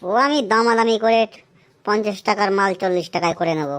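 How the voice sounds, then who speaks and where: A woman's voice: medium pitch, a little fast, fairly bright tone, close to an everyday voice. Woman voicing a cheeky cartoon schoolboy. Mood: cheeky, pleading. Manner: cheeky, smart-alecky. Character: cheeky young schoolboy, a classroom joker. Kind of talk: comic cartoon dialogue.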